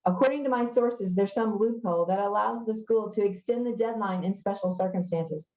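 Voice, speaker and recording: A woman's voice: mid-range voice, a little fast, darkish tone, echoey room, dull audio, close to an everyday voice. A woman reading the part of a pushy, sneering middle-school newspaper editor boy in a storybook, insistent and sarcastic. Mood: urgent.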